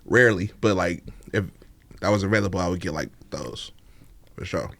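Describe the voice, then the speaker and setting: A man's voice: deep voice, moderate pace, a bit bright, no echo. A laid-back man, a podcast guest chatting casually and laughing often, with many fillers. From Oakland, California. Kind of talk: casual interview chat.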